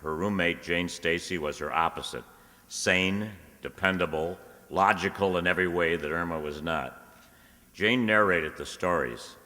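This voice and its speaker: A man with a low, forceful voice gives an announcer's introduction to an old radio show. His words carry a long hall reverb. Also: normal speed. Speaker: announcer, presenter.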